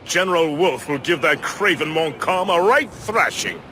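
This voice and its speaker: A jovial first mate for a video game. His voice is fairly high and bounces around as he chatters colourfully. Outdoor ambience is clearly audible behind him. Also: expressive, moderate pace, bright, forceful tone, slight background noise. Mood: hearty. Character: jovial, talkative seafaring male first mate. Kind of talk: video game cutscene acting.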